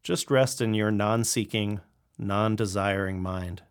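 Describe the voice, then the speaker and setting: A man with a fairly low voice and a strong gravelly fry leads a guided meditation. He speaks as a calm teacher, giving gentle instructions and leaving space between his phrases. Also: measured pace.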